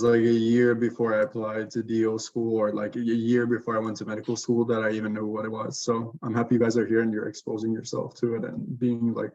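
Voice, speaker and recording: A man's voice: fairly low pitch, a little mellow. A man in his twenties from Sweden, a medical student talking casually in a webinar and using "like" a lot. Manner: casual, with lots of "like" filler. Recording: dull lo-fi audio.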